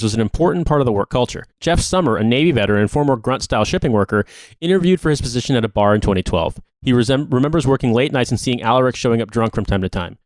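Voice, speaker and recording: Guy on a podcast reading an article out, pretty fast, dry sound no room. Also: medium pitch, dry recording. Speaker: podcast co-host. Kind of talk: reading an article aloud with brief reactions.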